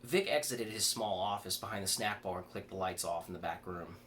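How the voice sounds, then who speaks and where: A man's voice: deep voice, fairly quick, a bit bright. A man reads a horror story aloud, occasionally stumbling and rereading a word. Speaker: author reading own fiction aloud. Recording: clear background noise.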